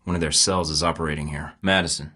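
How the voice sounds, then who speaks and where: A low-voiced male spy who sounds unshakable and tosses off dry, sarcastic quips between terse mission lines. He is the hero of an action game, speaking in the clipped, self-assured way of a field operative. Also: steady tone, fast, bright, forceful tone, breathy edge, full character voice. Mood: wry. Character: wisecracking male secret agent, cool under pressure. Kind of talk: video game voice acting.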